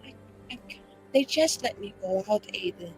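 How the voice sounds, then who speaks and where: A woman's voice: medium pitch, lively intonation, normal speed, faint music behind, natural voice. A woman playing a flamboyant, tipsy elf writer who rambles and boasts with self-dramatising flair in a tabletop game. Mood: reluctant, apologetic.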